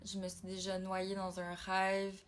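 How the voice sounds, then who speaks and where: Slow, reflective woman. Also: mid-range voice, completely flat delivery. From Ontario, Canada. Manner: reflective, halting, thinking aloud. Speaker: YouTuber. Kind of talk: casual personal vlog.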